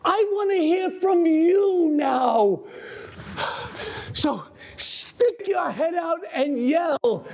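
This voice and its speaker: An actor playing an enraged, fed-up TV news anchor who shouts at his viewers in a dramatic monologue. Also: very high voice, vocal fry, full character voice. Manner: shouting, exhorting, repeating refrains. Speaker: stage actor.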